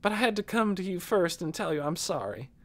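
A woman's voice: lowish voice, sing-song, brisk, pitched above the performer's own voice. A woman voicing a guilty, wounded teenage girl in an audiobook, plaintive and pleading, with folksy, uneducated grammar. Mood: regretful.

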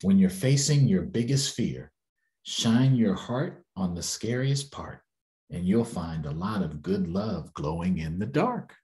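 An American man voicing a gentle, reassuring heart spirit from a picture book, slow, soothing and lilting. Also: sits low, expressive.

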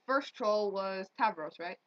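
A woman's voice: mid-range voice, animated, measured pace. A young woman chatting casually and jokingly with a friend in a playful, sweary way. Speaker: amateur vlogger doing character voices. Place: small bedroom at home, indoors. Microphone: computer webcam mic at arm's length. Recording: dull lo-fi webcam audio.

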